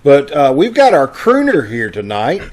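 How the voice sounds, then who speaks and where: A man's voice: fairly high pitch, huge pitch swings, normal speed. A man casually hosting a live online broadcast on a video call, making announcements in a folksy, conversational way. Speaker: host of a live online radio-troupe broadcast.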